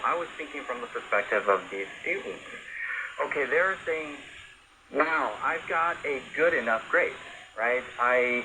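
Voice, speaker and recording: Guy chatting on a radio panel, casual argumentative talk, average pitch, lots of shortwave noise. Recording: noisy shortwave radio broadcast. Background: shortwave static and hiss.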